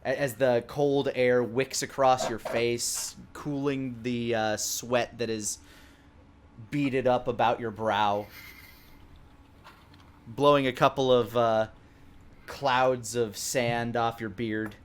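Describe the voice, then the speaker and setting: Guy being dungeon master, normal pitched voice, making up descriptions as he goes, kinda halting. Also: steady tone, slight background noise. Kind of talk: improvised tabletop game narration.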